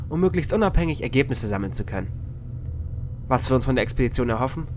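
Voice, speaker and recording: A man's voice: medium pitch, noisy background, close to an everyday voice. A man playing an earnest scientist on a space expedition in an audio drama, speaking formally and carefully. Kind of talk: audio drama log narration.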